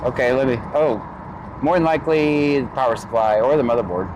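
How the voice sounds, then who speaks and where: A man hosting a live stream, chatting casually with viewers and calmly giving helpful computer advice. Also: mid-range voice, brisk, noisy background. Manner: relaxed, helpful tech explainer.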